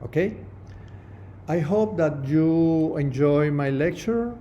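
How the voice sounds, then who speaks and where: Middle-aged Spanish-speaking prosthodontist lecturing online; mellow, warm tone, stop-start delivery. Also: male voice, a little high, expressive, highs cut off, slight background noise. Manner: halting non-native English with many restarts. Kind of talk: webinar lecture over slides.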